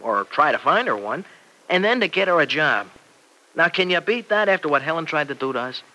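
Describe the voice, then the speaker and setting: An actor playing an earnest, excitable young husband and devoted son-in-law in an old radio serial. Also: male voice, a little high, a little fast, rough recording. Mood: reflective, candid. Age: young adult. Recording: vintage radio recording.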